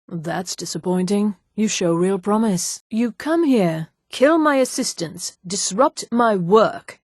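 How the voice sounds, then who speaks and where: A woman voicing a haughty high elf in a video game, speaking in a formal, measured, imperious way. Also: a little mellow, dry recording, studio quality, natural voice. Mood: cool, disdainful, then indignant. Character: haughty female high elf mage and guard. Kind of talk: video game character dialogue.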